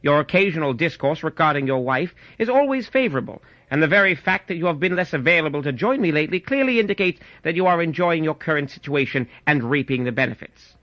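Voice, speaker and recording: A man voicing a brisk, self-assured Victorian gentleman detective with a pompous, precise manner, in a radio-style story. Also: a little high, lively intonation, no room sound, muffled recording, lightly acted. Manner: pompous, precise, rapid deductions. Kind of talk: audiobook radio-style pastiche.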